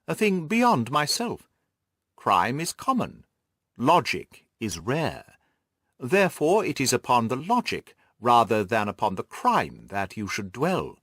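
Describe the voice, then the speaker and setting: A man's voice: mid-range voice, a bit bright, no echo, studio quality, exaggerated voice. A man voicing a haughty, disputatious detective who lectures his friend pompously in an audiobook. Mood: haughty, lecturing. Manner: pompous, didactic. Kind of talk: audiobook character dialogue.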